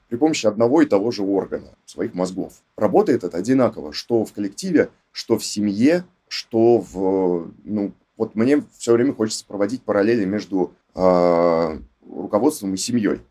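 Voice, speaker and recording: Low, mellow, husky-voiced man musing. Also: animated, normal speed. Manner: thoughtful, hesitant with many fillers. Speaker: podcast guest, team lead mentor. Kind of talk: reflective casual conversation.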